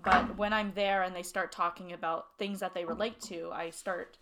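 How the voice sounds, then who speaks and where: A young woman talking casually in an interview, relaxed and chatty, with frequent "you know" fillers. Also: on the low side, normal speed, background noise. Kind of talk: casual reflective interview talk.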